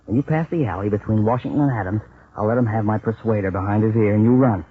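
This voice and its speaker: An actor playing a scheming small-time American crook in 1940s radio drama style, bossy, clipped and slangy. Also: male voice, fairly low pitch, fast talker, a little hiss or hum, close to an everyday voice. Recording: muffled 1940s radio recording.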